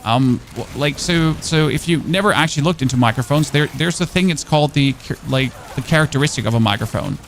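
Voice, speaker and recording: Gravelly man chatting on stream. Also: mid-range voice, a little fast, hardly any pauses, slight background noise. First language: German. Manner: rambling, answers chat questions, frequent restarts. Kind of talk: casual gameplay streaming chat. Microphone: studio dynamic microphone.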